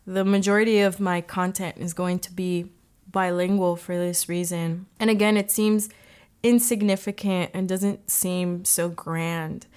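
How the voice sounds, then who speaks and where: A young woman hosting a podcast, reflecting on her faith in an earnest, gently emotional way, her voice catching now and then. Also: fairly low pitch, fairly even intonation, a little slow, smooth. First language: English. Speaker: podcast host.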